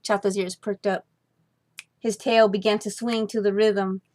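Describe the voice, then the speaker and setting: A woman reads a children's story aloud in a mid-pitched voice. Her scripted narration is expressive and deliberate, leaning on key words. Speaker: storybook reader.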